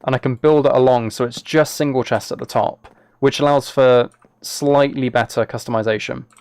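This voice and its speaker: A man with a noticeably creaky, gravelly voice at an average male pitch streams a game live. He talks casually to his viewers and thinks out loud as he plays. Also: fairly even intonation, normal speed, no echo. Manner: thinks aloud, chatting with viewers.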